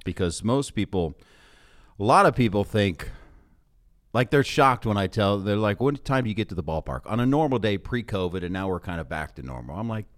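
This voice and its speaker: Animated, lower-voiced American man. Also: brisk. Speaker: podcast host, sports broadcaster. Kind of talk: casual conversational interview.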